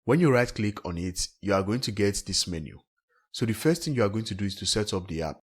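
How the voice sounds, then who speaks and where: A man with a low-pitched, slightly rough voice guides listeners through a software tutorial. He speaks in a scripted, step-by-step way as a tech host. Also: lively intonation, fairly quick.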